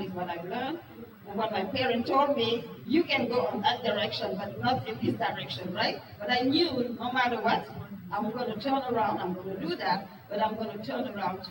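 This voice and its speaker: Woman giving a talk, mic far off, echoey room with noise. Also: a little high. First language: Wolof. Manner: frank and earnest, asks the audience questions. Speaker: speaker at a business talk. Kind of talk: presentation to a live audience. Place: meeting room with an audience.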